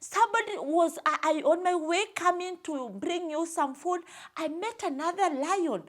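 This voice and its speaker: A woman's voice: very high-pitched, normal speed, pitched above the performer's own voice. A woman storyteller voicing a small, clever hare who pleads meekly and hesitantly in a children's tale. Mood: pleading, apologetic. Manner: apologetic, hesitant stammering.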